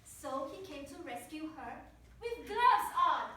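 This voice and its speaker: A woman's voice: high voice, sing-song, takes it slowly, sharp tone, heavily acted. A woman narrating a scripted children's fairy tale. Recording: echoey room from far off, faint noise.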